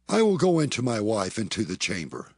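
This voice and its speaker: An actor playing a proud, defiant strongman in a dramatized Bible, speaking in a deliberate, declamatory way. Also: fairly low pitch, wildly swooping pitch, husky, no room sound, clean studio sound, full character voice. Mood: firm. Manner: declamatory, deliberate archaic speech. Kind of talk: dramatized scripture acting.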